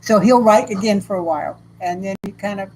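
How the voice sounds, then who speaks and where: Loud, big-voiced woman host. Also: mid-range voice, fairly quick, a little hiss or hum, poor audio. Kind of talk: casual conversational chat.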